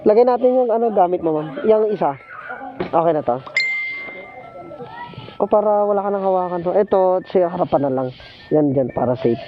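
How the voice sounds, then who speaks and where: A man's voice: high voice, lively intonation, normal speed, long pauses, over music. A Filipino man working as a motorcycle taxi rider and vlogger, chatting casually in a polite, teasing way. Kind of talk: casual chat with passengers and passers-by. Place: outdoors on a motorcycle in the street. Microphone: helmet intercom. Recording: muffled helmet intercom with loud road noise.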